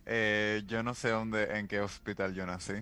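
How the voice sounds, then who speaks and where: A man's voice: lowish voice, flat delivery, normal speed, pushed and bright, clean tone. A man, talking hesitantly as a guest in a casual chat. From Dominican Republic.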